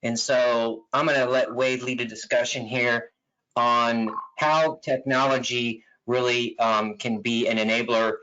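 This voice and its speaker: A man moderates a webinar with a bright, forceful voice at an average pitch. His delivery is informal, and the sound is dull and band-limited. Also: flat delivery, unhurried.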